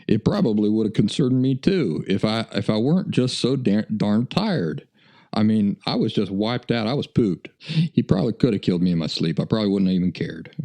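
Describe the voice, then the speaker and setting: A man's voice: lowish voice, dark tone. An American man hosting a podcast, telling stories in a folksy, self-deprecating way. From United States. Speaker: podcast host. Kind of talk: casual storytelling monologue.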